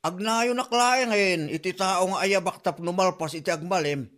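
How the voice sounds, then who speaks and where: A man's voice: sits high, normal speed, a bit bright. A man with an Ilocano accent playing a grumbling villager in a comedy drama. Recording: faint background music.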